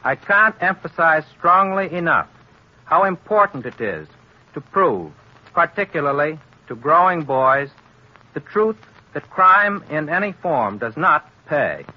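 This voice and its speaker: A man's voice: fairly high pitch, sing-song, measured pace. Formal American man, muffled old recording. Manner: earnest, formal. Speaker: guest government official. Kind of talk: formal prepared radio address. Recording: muffled 1930s radio recording.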